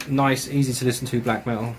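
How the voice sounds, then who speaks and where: A man's voice: medium pitch, steady tone, quick pace. A man casually reviewing metal records and tapes, enthusiastic and chatty, with slang and swearing.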